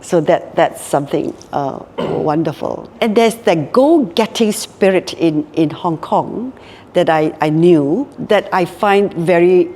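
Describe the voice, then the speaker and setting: Reflective Singaporean woman, lowish voice. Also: a little mellow, big-room sound, slight background noise. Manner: reflective, repeats words while thinking. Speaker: guest, hotel group co-founder. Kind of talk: casual interview talk.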